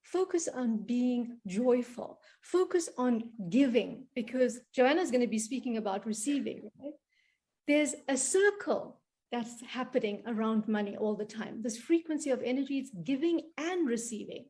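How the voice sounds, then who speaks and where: A South African woman, a guest coach on a podcast, talking in an animated, emphatic, motivational way. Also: a little high, normal speed. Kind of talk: podcast interview.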